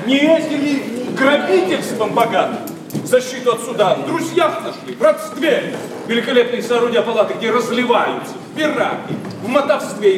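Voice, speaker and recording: An actor playing a scornful young idealist gentleman in a verse radio play, declaiming with impassioned, biting sarcasm. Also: high-pitched. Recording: echoey, distant stage sound with audience.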